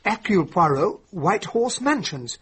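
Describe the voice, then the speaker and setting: An actor playing an earnest gentleman sidekick in a radio drama, hesitant and eager, with an English accent. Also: high voice, big pitch swings, measured pace, full character voice. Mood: flustered, helpful. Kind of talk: classic radio drama acting. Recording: noisy old radio drama recording.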